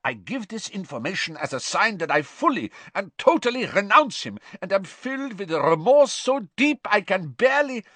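A frightened, oily prisoner begs in a raised, strained voice, as a man puts him on. He rushes his words, breathless and eager to please, in a dry storybook reading. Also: high voice, very animated, pushed and bright, no room sound, voice pushed up, heavily acted. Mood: hurried groveling. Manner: pleading, hurried, breathless. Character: scared, unctuous middle-aged male prisoner.

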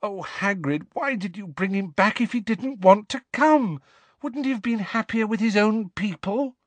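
A man acts out an alarmed young girl from a storybook. The voice is pitched high and shrill, leaping about with indignant disbelief, in a dry close recording. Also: wildly swooping pitch, takes it slowly, a bit bright, dry recording, full character voice. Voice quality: shrill, breathy when alarmed. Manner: incredulous, exasperated questioning.

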